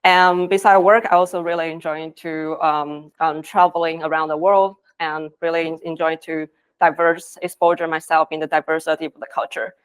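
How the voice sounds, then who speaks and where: Woman giving an earnest talk on stage, voice a bit on the low side. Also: level tone, normal speed, smooth. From Taiwan. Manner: earnest, with non-native phrasing. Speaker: conference speaker, design lead. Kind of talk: conference presentation. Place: conference stage.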